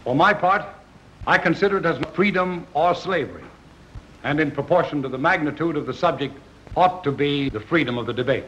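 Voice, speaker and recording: Actor as solemn colonial orator, highish voice. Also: male voice, noisy background, close to an everyday voice. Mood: grave. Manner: declamatory, measured, formal. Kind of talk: 1930s film acting, formal oratory. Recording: dull 1930s film soundtrack, roomy.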